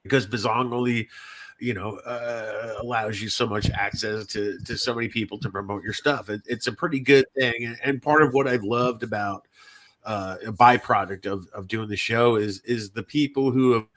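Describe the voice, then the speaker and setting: A man's voice: on the low side, steady tone, moderate pace, fairly bright tone. A man hosting a podcast in casual chat, talking in a rambling, repetitive, sweary and self-deprecating way. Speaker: podcast host and indie fiction writer.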